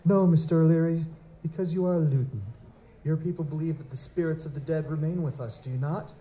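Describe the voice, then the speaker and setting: A very dark, mellow and fairly high male voice for a young baron, acted by a man, courtly and deliberate. He carries himself with formal, determined gravity in an audio drama, with faint noise behind him. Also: lo-fi sound, lightly acted. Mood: solemn, determined. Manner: formal, aristocratic, deliberate phrasing. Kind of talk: live audio drama acting.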